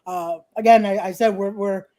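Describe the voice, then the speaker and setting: A podcast host from Ecuador leads a relaxed interview with a mellow, darker tone. The host talks with eager enthusiasm and often doubles back on words mid-sentence. The voice sits at a middling pitch. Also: normal speed. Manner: enthusiastic, repeats words while searching for them. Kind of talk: casual interview chat.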